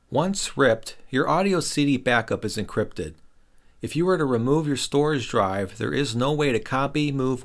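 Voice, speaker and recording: A middle-aged man reads a scripted product review voice-over in a wry, opinionated way. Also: medium pitch. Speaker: reviewer and host.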